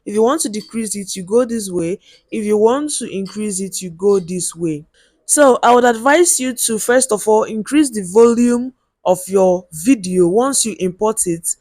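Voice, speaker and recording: A woman with a loud voice teaching video editing step by step on a handheld mic, in a chatty way with lots of "okay" and "right?". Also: medium pitch, no room sound, studio quality. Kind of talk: screen-recorded app tutorial.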